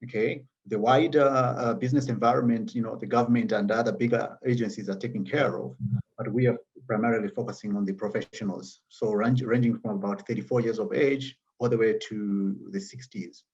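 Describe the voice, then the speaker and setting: A man with a southern African accent explaining his work as an interview guest, in measured, hesitant phrases. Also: fairly low pitch, steady tone, darkish tone, dull audio. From Zambia and Malawi. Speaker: interview guest, magazine founder.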